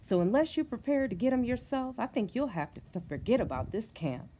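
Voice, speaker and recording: A middle-aged woman reading a storybook aloud in an encouraging, conversational way, pausing to put questions to a young listener. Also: a little high, big pitch swings, dark tone, highs cut off, slight background noise.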